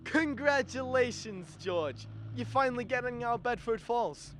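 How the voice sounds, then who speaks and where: A teenage student actor playing a chummy, colloquial small-town cab driver in a radio drama. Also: male voice, very high voice, animated, moderate pace. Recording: noisy street ambience with crowd, traffic.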